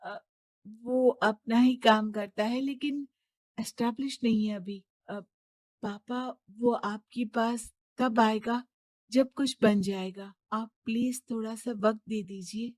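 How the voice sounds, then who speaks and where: A woman's voice: on the high side, steady tone, slow pace, a little mellow, no echo, low-quality recording. A woman voicing a stubborn young rich man's daughter in a cartoon moral story, pleading and insistent.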